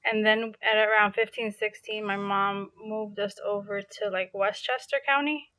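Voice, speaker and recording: Bright, dry-voiced young New woman. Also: medium pitch, steady tone, moderate pace, no room sound. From New York City. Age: young adult. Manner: chatty, many "like" fillers and restarts. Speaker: podcast guest. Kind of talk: casual interview conversation.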